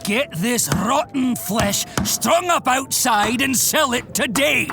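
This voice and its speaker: An actor playing a loud, greedy butcher merchant who shouts and cackles, in an audio drama with music under him. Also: male voice, extremely high pitch, takes it slowly, gravelly, exaggerated voice. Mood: boisterous.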